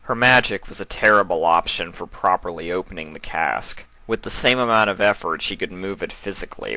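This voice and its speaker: A man's voice: low voice, sharp tone, no room sound. A man reading a fantasy story aloud as its narrator, in a dramatic scripted style. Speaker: fan fiction narrator.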